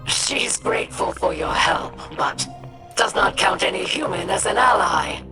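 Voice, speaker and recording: A woman voicing a calm, dignified alien elder in a video game, speaking in a measured, solemn way. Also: fairly low pitch, soft background music. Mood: calm, reassuring. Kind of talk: video game dialogue acting.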